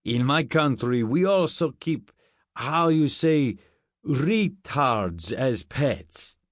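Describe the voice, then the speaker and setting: A man's voice: mid-range voice, expressive, extremely slow, no echo, clean studio sound. A man voicing a fat, jovial, crude Russian guard in a story, with a thick Russian accent and blunt, broken English. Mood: amused, jovial.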